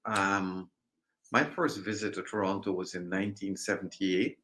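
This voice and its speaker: A man from Guyana, a guest realtor answering interview questions in a measured, hesitant way with many ums. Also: sits low, steady tone, background noise.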